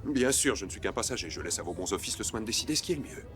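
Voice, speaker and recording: An actor playing a suave, ambitious gentleman with polished, flattering formality, in a period film drama. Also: on the low side, very fast, over music, lightly acted. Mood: smooth persuasion. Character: suave, ambitious gentleman passenger coaxing a captain.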